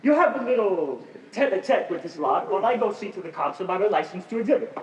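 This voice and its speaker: A man acting as a scheming showman out for a fortune. The high voice swings widely in stagey delivery. It comes from far off in an echoey hall. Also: lively intonation, fast, a little hiss or hum. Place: high school theater stage.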